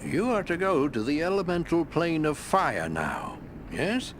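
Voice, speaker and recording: Lively-pitched magical guide. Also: male voice, measured pace, a little hiss or hum. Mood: polite, cautioning. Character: polite magical guide who transports adventurers. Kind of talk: video game character acting.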